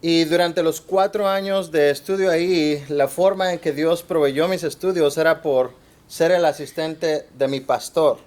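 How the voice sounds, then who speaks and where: A man with a Mexican accent giving a church workshop talk in short phrases, pausing between them. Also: fairly high pitch, steady tone, darkish tone. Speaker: workshop speaker.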